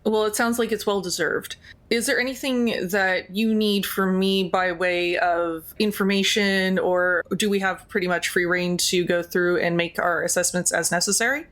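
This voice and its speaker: Tabletop game role: courteous but firm official played by a woman, formal and measured, mid-range pitch. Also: steady tone, fairly bright tone, natural voice. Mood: polite. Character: polite, firm, official school program reviewer. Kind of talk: improvised tabletop roleplay.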